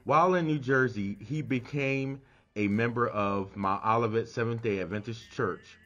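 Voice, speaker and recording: A man's voice: medium pitch, normal speed, a bit bright, muffled recording. A man reading a scripted memorial biography of a family member.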